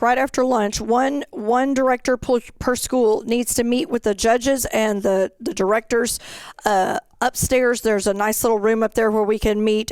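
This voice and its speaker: A woman's voice: medium pitch, darkish tone, smooth, no echo. A woman co-presenting as an event organizer, explaining procedures in an informal, conversational way. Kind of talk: informal instructional briefing.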